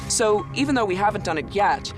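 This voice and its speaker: A man's voice: high voice, expressive, brisk. A man speaking as an expert interviewee in a documentary, explaining in a conversational, enthusiastic way. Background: background music.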